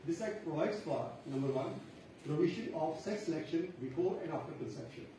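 A man's voice: fairly high pitch, expressive, brisk, mellow. A man with an Indian English accent explaining points of law in a formal presentation. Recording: very echoey hall from far off, hiss.

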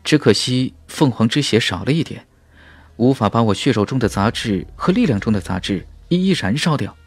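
A man's voice: mid-range voice, lively intonation, moderate pace, slightly rough, no echo. A man voicing a confident, ambitious young warrior in a fantasy audiobook, with an emphatic, dramatic delivery. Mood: admiring. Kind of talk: audiobook character speech and inner thoughts.

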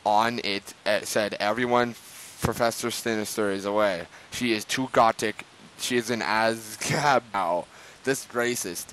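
A man's voice: lowish voice, unhurried, a bit bright, a little hiss or hum, poor audio. A man hosting a dramatic reading of fan fiction, theatrical and stumbling over words. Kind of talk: mock-dramatic reading aloud.

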